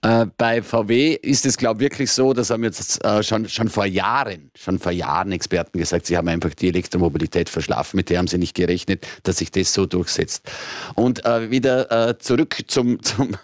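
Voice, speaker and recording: Hesitant Austrian radio host, dry sound. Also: male voice, fairly low pitch, a little fast, dry recording. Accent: Austrian German. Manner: hesitant, many filler sounds and restarts. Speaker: radio call-in show host. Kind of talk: casual call-in radio chat.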